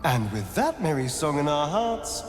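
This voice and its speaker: An actor playing a sly, sardonic vampire rogue in a video game, speaking in an arch, theatrical drawl. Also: male voice, fairly high pitch, very animated, moderate pace. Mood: amused, sly. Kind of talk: dramatic game character acting. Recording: music behind the voice.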